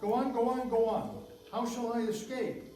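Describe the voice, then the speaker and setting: Man as old skinflint businessman, querulous high voice, radio-play acting, distant in a reverberant space. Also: fairly even intonation, moderate pace, mellow. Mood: anxious, wheedling. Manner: querulous, exclamatory. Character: crotchety miserly old businessman, sceptical then frightened. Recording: noisy, very echoey room, far from mic.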